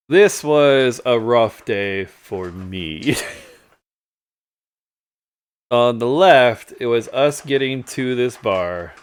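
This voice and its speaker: A man's voice: medium pitch, drawn-out words, pauses often. A man hosting a live stream, telling stories casually and humorously, often chuckling and acting out what people said. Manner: chuckles often, animated retelling with acted-out quotes. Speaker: storytelling show host. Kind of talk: casual humorous storytelling over photos.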